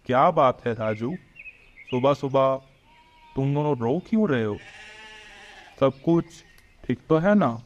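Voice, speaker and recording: A man's voice: mid-range voice, expressive, takes it slowly, pauses often. A man voicing a kindly, righteous village priest in a cartoon moral story. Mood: concerned. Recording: dull lo-fi audio with music behind.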